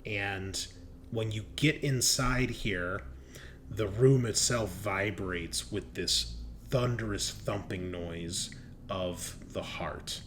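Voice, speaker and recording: Guy running a horror tabletop game, really slow and halting, lowish voice, some noise behind him. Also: a bit bright. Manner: halting, with drawn-out pauses as he describes scenes. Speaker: game master.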